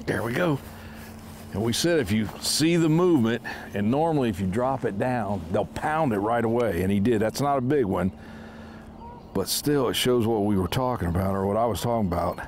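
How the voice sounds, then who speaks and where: A man in his fifties with a lowish voice talks through his fishing technique in a relaxed, easygoing way. He is out on a lake in a small boat, recorded on a close camera mic, with a faint outdoor hush behind him. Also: moderate pace, a little hiss or hum. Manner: relaxed, explaining as he fishes. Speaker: fishing show host. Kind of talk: casual instructional talk while fishing.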